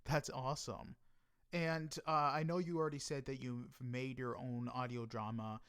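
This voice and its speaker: A man's voice: mid-range voice, steady tone, normal speed, fairly bright tone, smooth. A man acting as interviewer, asking questions casually in a tentative, slightly hesitant way.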